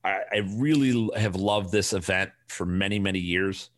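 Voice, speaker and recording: A man's voice: sits low, normal speed, fairly bright tone. A middle-aged man with a Chicago accent, hosting a casual solo podcast and ranting in a blunt, profane, rambling way. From Chicago area. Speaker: podcast host.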